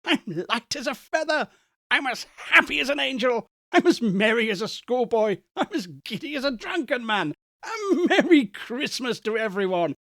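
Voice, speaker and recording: A man's voice: very high voice, sing-song, normal speed, sharp tone, no echo, studio quality, pitched above the performer's own voice, exaggerated voice. A man voicing an overjoyed reformed old miser in a storybook reading, exclamatory and full of childlike glee. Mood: giddy joy. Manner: exclamatory, gleeful, whooping.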